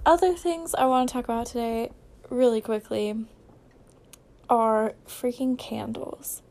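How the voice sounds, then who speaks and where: A woman's voice: a little high, unhurried, darkish tone. A young woman with an American accent chatting casually on a solo podcast, rambling informally, questioning and correcting herself as she goes.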